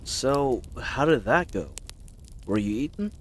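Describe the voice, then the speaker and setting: Casting: male actor as kind-hearted, babbling knight for audio roleplay; mid-pitched, very lively intonation, awkward, earnest delivery. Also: slow. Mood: awkward, flustered. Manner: rambling, hesitant, awkwardly earnest. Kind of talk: character roleplay audio drama, addressed to listener.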